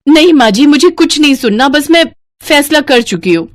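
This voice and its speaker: Lady doing a plaintive young indian bahu in a cartoon, higher swoopy voice, worn down. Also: expressive, normal speed, no room sound. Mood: fed up. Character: young newlywed daughter-in-law, put-upon and plaintive.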